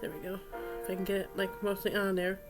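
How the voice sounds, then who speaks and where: A woman's voice: mid-range voice, completely flat delivery, fast talker, music in the background. A woman with a stuffy, congested voice, hosting a casual craft video with rambling, unscripted narration as she works.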